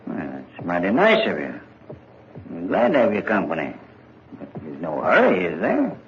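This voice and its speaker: An actor playing an easygoing rural village constable in a 1940s radio drama, folksy and unhurried. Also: male voice, deep voice, wildly swooping pitch, full character voice. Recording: dull old radio recording, faint hiss.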